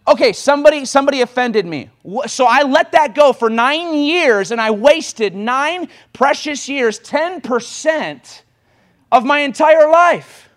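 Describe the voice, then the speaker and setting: High-voiced young preacher. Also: male voice, lively intonation, fairly bright tone. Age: 20s. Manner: impassioned, rapid exhortation with sudden bursts of volume. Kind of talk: sermon.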